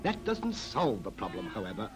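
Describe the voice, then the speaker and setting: Emphatic, lively male town planner. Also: fairly low pitch, normal speed. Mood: brisk, explanatory. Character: confident male town planner, brisk and persuasive. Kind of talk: 1940s animated public information film acting. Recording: old 1940s film soundtrack, music behind.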